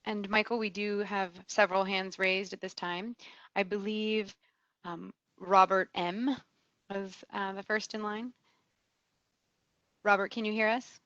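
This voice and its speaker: Slow-paced woman moderating a call. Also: medium pitch, fairly even intonation, fairly bright tone, no room sound. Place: remote conference call. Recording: dull, dry call audio.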